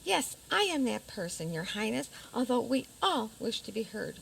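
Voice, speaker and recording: An actress playing a prim, indignant young princess making a formal, assertive case in community radio theater. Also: female voice, a little high, big pitch swings, normal speed, creaky, full character voice, low-quality recording. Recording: faint background noise.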